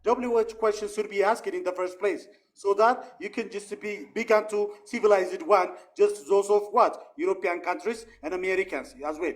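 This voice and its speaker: A man's voice: high voice, fairly even intonation, moderate pace, few pauses. A man, a caller urging listeners in a pleading, repetitive way.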